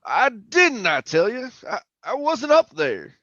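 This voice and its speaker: A man's voice: very high-pitched, huge pitch swings, slow, sharp tone, dry recording, lo-fi sound, full character voice. A man playing a jittery, haunted oil rig worker telling his suspense story in colloquial, stammering old-time radio style. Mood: defensive, nervous. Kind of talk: old-time radio drama narration, first-person suspense.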